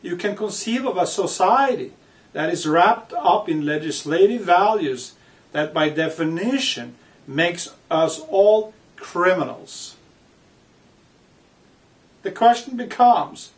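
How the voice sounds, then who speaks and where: A man reading his own book aloud with commentary, speaking in deliberate, emphatic phrases broken by pauses. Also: high voice, lively intonation. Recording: distant microphone.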